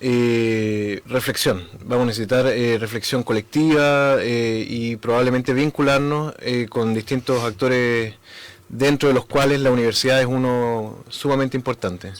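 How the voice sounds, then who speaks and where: A man's voice: medium pitch, fairly even intonation, a little slow, no echo. A man hosting a radio interview, speaking with frequent hesitations and fillers. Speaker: radio host. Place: radio studio.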